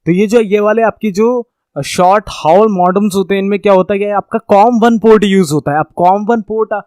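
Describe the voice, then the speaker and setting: A man's voice: sits high, brisk, a little mellow, clear, resonant tone, dry recording, studio quality. A man giving an informal explanatory lecture as a course instructor, repeating and rephrasing points and checking that listeners follow.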